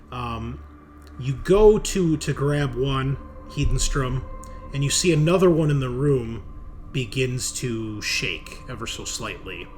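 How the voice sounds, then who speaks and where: A man acts as game master for a tabletop roleplaying session. He speaks very slowly at a middling pitch, describing things in a halting, improvised way. There is clear noise behind his voice on the online call. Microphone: online group call.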